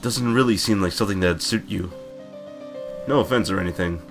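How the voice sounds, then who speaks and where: A man's voice: low voice, a little fast. A man playing an easygoing, sympathetic server at a drinks counter, speaking casually and considerately in a visual novel fandub. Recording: guitar music behind the voice.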